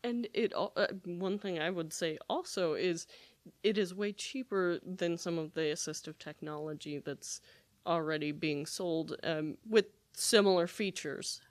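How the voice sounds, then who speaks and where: A co-host in casual interview talk, chatty and quick to affirm the guest. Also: mid-range voice, no echo. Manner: chatty, affirming with short backchannels.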